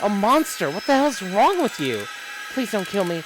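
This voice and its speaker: A streamer acting out a desperate, shrill, terrified victim. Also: big pitch swings, moderate pace, slight background noise, lightly acted, low-quality recording. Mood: terrified, pleading. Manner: desperate, accusing, rising to shouts. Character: terrified victim pleading with a monster. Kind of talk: visual novel voice acting. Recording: loud music under voice. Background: loud music.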